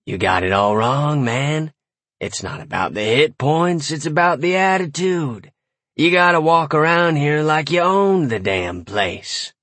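A smug, swaggering warlock mentor, acted by a male narrator, drawls slang with cocky confidence. His husky storybook voice swings widely in pitch and sounds close and dry. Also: lively intonation, unhurried, a bit bright, dry recording. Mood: smug, advising.

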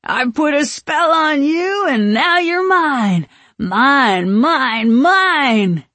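A woman voicing a tipsy, excitable young man out partying in an audiobook, gushing playfully in exclamations. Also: mid-range voice, a little slow, no room sound. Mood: tipsy, delighted.

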